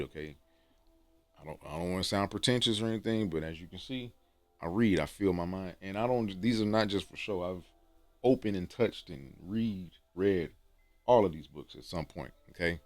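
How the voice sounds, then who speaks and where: A man from Texas with a deep, resonant voice, giving rambling, slangy self-improvement advice to camera and often tagging "okay?". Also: no room sound. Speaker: dating and self-improvement vlogger. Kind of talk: casual talk to camera. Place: room with books on shelves.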